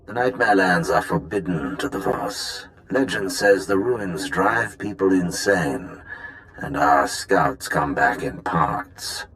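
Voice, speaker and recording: A man's voice: sits low, lively intonation, slow, a bit bright, slight background noise, exaggerated voice, low-quality recording. A man playing a wry, matter-of-fact undercover agent in a video game, giving dry, informal briefings. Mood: wary. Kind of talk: video game dialogue acting.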